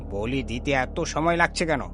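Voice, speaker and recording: A man's voice: mid-range voice, expressive, moderate pace, background noise, lightly acted. A man voicing a commanding, villainous bandit leader in a cartoon story. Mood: impatient. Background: loud music.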